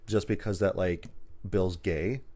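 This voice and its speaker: A man's voice: deep voice, steady tone, unhurried, crowd noise. A man co-hosting a podcast, chatting casually about games and movies in a rambling, emphatic, sometimes sweary way. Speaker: podcast co-host.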